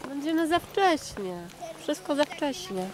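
A woman's voice: high voice, very animated, measured pace, noisy background. A woman chatting casually and a little fretfully with a companion outdoors and on a bus, recorded on a camera mic. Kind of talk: casual chat. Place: outdoors at a bus stop, then on a bus.

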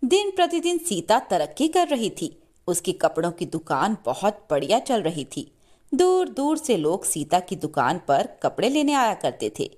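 Animated woman narrating a children's story. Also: medium pitch, a little slow.

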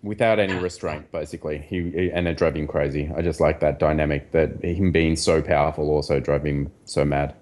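A man's voice: extremely low pitch, steady tone, a little fast, few pauses, darkish tone. A man giving his views casually as a panelist on a podcast. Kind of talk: casual chat.

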